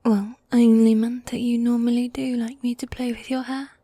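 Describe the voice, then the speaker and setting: A woman voicing a needy, dominant goth demon girlfriend in a soft, breathy, coaxing whisper, in a medieval fantasy ASMR roleplay. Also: a little high, flat delivery, no room sound, close to an everyday voice. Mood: coaxing, tender. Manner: affectionate, coaxing, drawn-out genteel phrasing.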